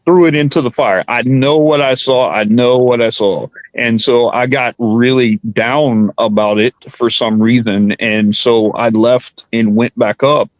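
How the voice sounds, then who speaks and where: A man's voice: mid-range voice, dry recording, low-quality recording. Rambling man, dry sound. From South Carolina Low Country. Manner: rambling with many restarts and fillers. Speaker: podcast host. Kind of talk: casual chat.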